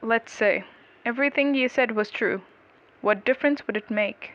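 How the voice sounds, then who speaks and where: A woman narrator voicing a stern, cold prosecuting lawyer in a dark story, measured and admonishing. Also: a little high, muffled recording, close to an everyday voice. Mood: stern, accusing.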